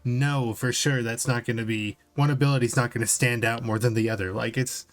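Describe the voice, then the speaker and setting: A man's voice: mid-range voice, steady tone, a little fast. A man in casual conversation as a podcast co-host, informal and questioning as he thinks aloud. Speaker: podcast co-host reacting to a video. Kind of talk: casual chat.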